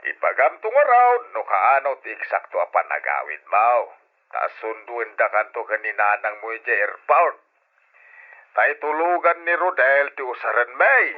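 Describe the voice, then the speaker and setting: A man's voice: mid-range voice, sing-song, normal speed, natural voice. Actor as a hearty Ilocano father, swooping delivery. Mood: hearty, reassuring. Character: hearty, doting older Filipino father, hardworking farmer. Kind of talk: Ilocano radio drama acting. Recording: tinny phone-like old radio sound.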